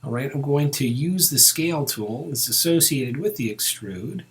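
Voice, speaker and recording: A man giving an informal step-by-step software tutorial, thinking aloud as he works. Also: medium pitch, lively intonation, moderate pace.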